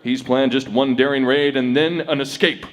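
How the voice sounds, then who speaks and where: An actor playing a masked Western hero who urgently warns an army officer, in a stage reenactment of an old-time radio drama. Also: male voice, medium pitch, fairly even intonation, moderate pace, big-room sound, natural voice. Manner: forceful, declamatory.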